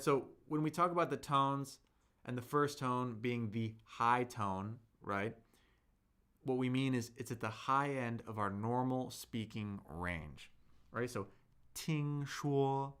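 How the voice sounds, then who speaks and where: Slow, patient man teaching Chinese tones. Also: mid-range voice, a bit bright, smooth. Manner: patient, explains slowly, models tones; reads and answers viewer chat. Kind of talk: live-streamed language lesson.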